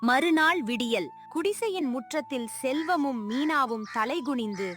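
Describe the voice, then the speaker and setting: High-pitched woman narrating a story. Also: expressive, measured pace, fairly bright tone, faint music behind. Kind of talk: dramatic scripted story narration.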